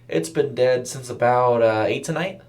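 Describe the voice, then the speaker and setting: A man's voice: medium pitch, normal speed, voice pushed up, lightly acted. Male narrator as young female burger worker, raised voice, friendly, casual, audio fiction. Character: friendly young woman, fast food worker ending her shift.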